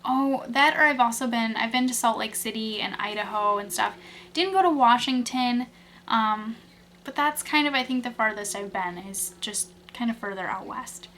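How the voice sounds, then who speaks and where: A woman's voice: fairly high pitch, moderate pace, a bit bright, slight background noise. A young woman from Wisconsin, chatting casually through tag questions, rambling with lots of fillers and restarts. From Wisconsin, USA. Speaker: beauty vlogger.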